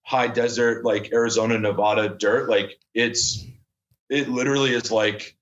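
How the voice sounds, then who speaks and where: A man's voice: fairly low pitch, steady tone, moderate pace, lo-fi sound, slight background noise. A man in his thirties, a podcast guest, talking casually and rambling with lots of fillers and restarts. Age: 30s. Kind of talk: casual chat.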